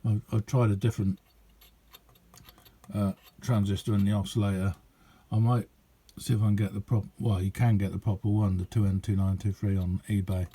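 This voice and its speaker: A man giving a casual, rambling hands-on demonstration as a radio hobbyist, chatty and hesitant in an unhurried way. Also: on the low side, level tone, warm tone, breathy edge.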